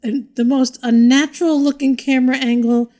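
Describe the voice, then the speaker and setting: High-voiced, dry-humored middle-aged woman. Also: steady tone, moderate pace, warm tone. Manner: dry, wry, self-deprecating, laughs often. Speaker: podcast co-host. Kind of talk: casual comedic chat.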